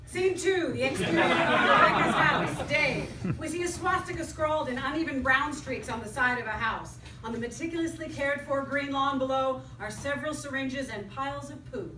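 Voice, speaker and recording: A woman's voice: high-pitched, moderate pace, a crowd in the background. A woman narrating the stage directions of a script at a live staged reading. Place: live theater stage before studio audience. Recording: echoey stage, distant mic, audience noise.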